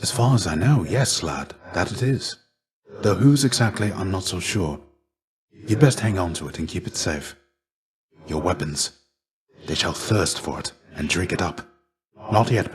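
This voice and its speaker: A man voicing the shade of a legendary warrior in a video game, a gentle mentor who speaks in measured, formal, old-fashioned phrases. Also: deep voice. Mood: calm, advisory. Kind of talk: scripted game dialogue.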